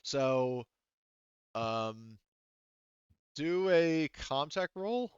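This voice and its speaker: Man, game master, smooth voice, explanatory refereeing, tabletop session, dry close sound, slightly muffled recording. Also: mid-range voice, lively intonation, drawn-out words, no echo. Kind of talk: running a tabletop roleplaying session.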